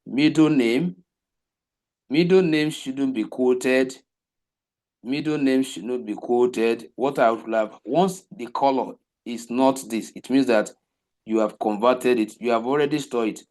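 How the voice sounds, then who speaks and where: Man, coding teacher, slow and repetitive walkthrough, mid-range voice. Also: mid-range voice, a little mellow. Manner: slow, repetitive step-by-step explaining, asks rhetorical questions. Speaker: web development teacher. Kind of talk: live coding class walkthrough.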